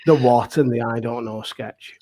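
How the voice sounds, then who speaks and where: A man's voice: medium pitch, steady tone, moderate pace, warm tone. A man who writes and performs pantomime, chatting casually as a panel guest. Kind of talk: casual chat.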